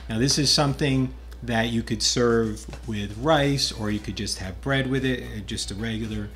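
A man in his fifties hosting a cooking show, calmly explaining recipes step by step in a home kitchen. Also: on the low side. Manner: friendly, explanatory, step-by-step instructions. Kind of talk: casual cooking demonstration.

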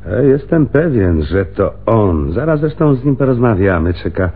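A wry, probing police lieutenant in a radio crime play. He talks conversationally, with drawled interjections and lively swings of pitch. The recording is muffled and narrow. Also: normal speed. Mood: confident. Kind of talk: radio crime drama acting.

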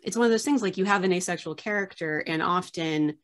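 A woman's voice: on the low side, fast. Chatty woman archivist on a video call. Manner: chatty, many fillers and restarts. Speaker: host, archivist. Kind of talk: casual video-call interview. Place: small room with framed pictures on wall.

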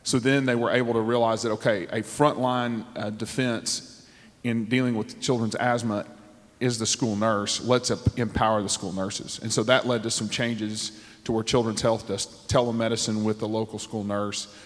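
A man on a discussion panel, speaking in a measured way with frequent ums, into a handheld mic on a theater stage. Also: on the low side, level tone. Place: large theater stage with audience. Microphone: handheld microphone. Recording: long hall reverb from a theater.

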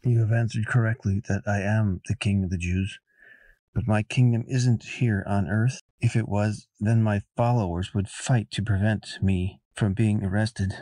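A man as a mellow, solemn holy man. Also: on the low side, steady tone, no echo, close to an everyday voice. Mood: calm, resolute. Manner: measured, solemn. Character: calm, resolute holy man held prisoner. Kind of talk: amateur biblical radio drama acting.

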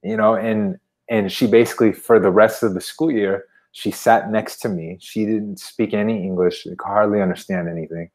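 A man in his thirties with a low voice talks casually on a video call. He shares things in an informal, reflective way, as a podcast guest would. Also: normal speed, few pauses, a little mellow. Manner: informal, anecdotal, frequent "you know". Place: indoor room at home, video call. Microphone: wired earbuds on a video call.

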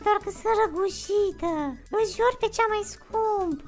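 Man plays pleading young woman for prank calls: extremely high, voice-changer processed, apologetic, music behind. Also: fairly even intonation. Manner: apologetic, coaxing. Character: sweet, pleading young woman with a sore throat. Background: background music.

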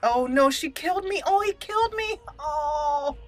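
A woman hosting a casual let's play, chatty and expressive as she talks to the game characters. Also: sits high, unhurried. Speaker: gaming channel host. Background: game music.

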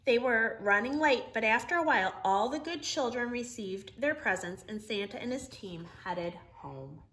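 Woman reading a storybook, high animated voice. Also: moderate pace, a little hiss or hum. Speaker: storybook reader. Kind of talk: expressive children's read-aloud. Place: living room, indoors.

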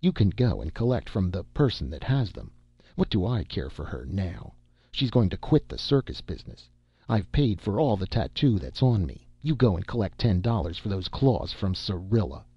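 A defiant, aggrieved circus man played by a male reader argues his case stubbornly. The voice is low and husky, in a storybook recording. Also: very animated, normal speed, heavily acted. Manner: defiant, argumentative. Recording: dull, roomy amateur audiobook recording.

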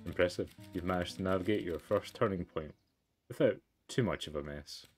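A man with a low voice plays through a story game. He switches between commentary and reading the narration aloud. Game music sits faintly behind him. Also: brisk. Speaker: let's player.

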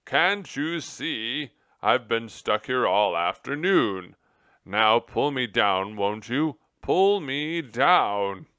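A man voicing a pompous, indignant mouse general in a children's storybook, with loud, drawn-out, petulant complaints. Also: lowish voice, clean tone, dry recording, clean studio sound. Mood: furious, demanding.

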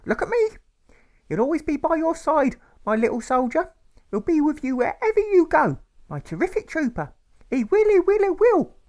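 A man performs a distraught upper-class Edwardian mother in an audiobook, pitched extremely high. She gushes and shrieks in swooping, loud phrases, repeating herself with doting, jingoistic fervour. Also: sing-song, moderate pace. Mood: tearful, fervent. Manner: whimpering, shrieking, gushing, repetitive.

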